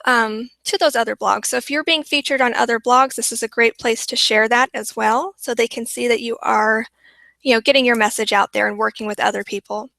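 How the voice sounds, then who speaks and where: Bright woman presenter losing her voice. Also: on the high side, steady tone, brisk, gravelly, no echo. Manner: upbeat, repeats for emphasis. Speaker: webinar presenter. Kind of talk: marketing webinar teaching.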